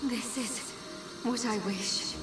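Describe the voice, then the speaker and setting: An actress playing a gentle but resolute, selfless heroine in a video game, solemn and earnest. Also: female voice, fairly high pitch, takes it slowly. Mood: solemn resolve. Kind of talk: dramatic video game acting. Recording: echoing, distant, loud music underneath.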